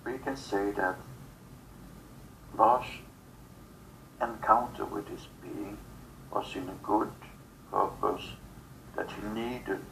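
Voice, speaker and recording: Male channeler, very slow and halting, fry-laden delivery, spiritual message. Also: lowish voice, fairly bright tone, slight background noise, poor audio. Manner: halting, fragmented phrases with long pauses.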